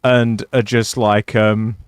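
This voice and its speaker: A man with a low voice hosting a casual co-op gameplay session, chatty and playful, swearing now and then. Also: level tone, slow, clean tone, no echo, studio quality. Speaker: gaming channel host.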